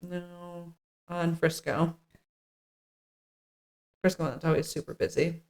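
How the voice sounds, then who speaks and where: A woman's voice: lowish voice, level tone, slow pace, a little mellow. A woman co-hosting a podcast in casual chat, with a playful, jokey manner, sometimes reading from notes. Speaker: podcast co-host.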